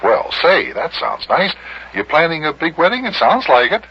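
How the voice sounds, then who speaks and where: A man playing a genial, sensible grown-up host in an old-time radio comedy, courteous and measured. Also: on the high side, very animated, breathy edge. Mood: courteous, interested. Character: genial, sensible grown-up radio pal named Big Jon. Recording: dull, noisy vintage radio recording.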